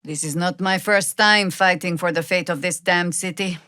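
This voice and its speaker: Older warrior druid woman, lowish voice, wry, commanding, fantasy game character, close dry recording. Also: steady tone, moderate pace, sharp tone, clear, resonant tone, no room sound. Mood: dry, resolute. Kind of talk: fantasy video game voice acting.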